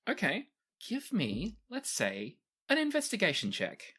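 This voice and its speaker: A game master with a mid-pitched voice and very animated intonation narrates a tabletop adventure. The delivery is conversational and descriptive, improvised as it goes. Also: unhurried, slightly rough.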